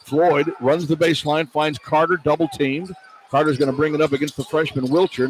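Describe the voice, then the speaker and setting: High-pitched American basketball play-by-play man. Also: low-quality recording. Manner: clipped phrases tracking each pass and shot. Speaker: radio play-by-play announcer. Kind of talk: live basketball play-by-play commentary. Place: courtside at an indoor arena. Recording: arena noise behind broadcast commentary. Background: arena ambience, basketball bounce.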